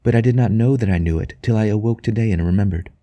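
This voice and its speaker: A man voicing a devout, tender young wife in an audiobook, speaking softly and reverently. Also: fairly low pitch, fast talker, no echo, pitched above the performer's own voice, close to an everyday voice. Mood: rapt devotion. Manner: soft, quiet, reverent. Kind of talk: audiobook character dialogue.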